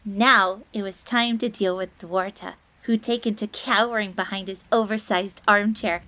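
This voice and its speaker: A woman's voice: mid-range voice, fairly even intonation, normal speed, pushed and bright, natural voice, low-quality recording. A woman playing a bubbly, devoted fox maid with a fierce streak, eagerly deferential and speaking of herself in the third person in an audio roleplay. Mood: proud, animated storytelling. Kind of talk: dramatic audio roleplay storytelling.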